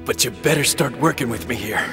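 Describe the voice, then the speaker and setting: An actor playing an earnest young rookie police officer in a video game, understated and sober. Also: male voice, lowish voice, animated, a little slow, music in the background. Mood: weary, pleading. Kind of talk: video game cutscene acting.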